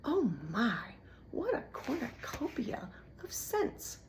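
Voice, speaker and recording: A woman voicing a gloating storybook villain rat, with theatrical, savoring, drawn-out words. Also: sits high, big pitch swings, noisy background, pitched above the performer's own voice, full character voice. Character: gloating one-eared villain rat, falsely welcoming, sniffing.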